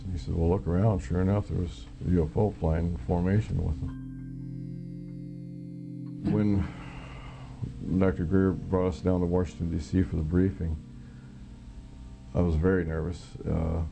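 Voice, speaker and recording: Very gravelly, low-voiced American man. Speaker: former military air traffic controller, witness. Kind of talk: personal testimony in an interview.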